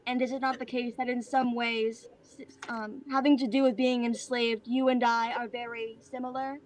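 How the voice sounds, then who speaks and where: A woman playing a godlike bound artificial being in a play reading, speaking in a formal, measured, detached way. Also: fairly high pitch, flat delivery, a little hiss or hum, close to an everyday voice. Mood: probing. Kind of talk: virtual staged play reading.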